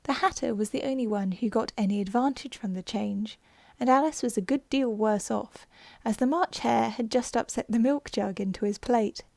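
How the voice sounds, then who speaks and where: A woman narrating a classic storybook in a soft, unhurried ASMR style, slipping into whispers now and then. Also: on the high side, vocal fry, no echo. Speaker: audiobook narrator.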